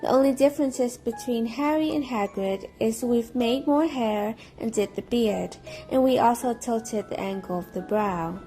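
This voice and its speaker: A woman's voice: fairly high pitch, moderate pace, hardly any pauses, warm tone, soft background music, poor audio. A female student reading scripted instructional narration for a craft tutorial.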